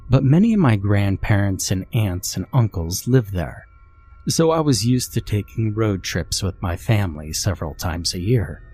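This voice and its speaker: A man narrating a scripted first-person horror story in a measured, deliberate way. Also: on the low side, a little mellow, husky.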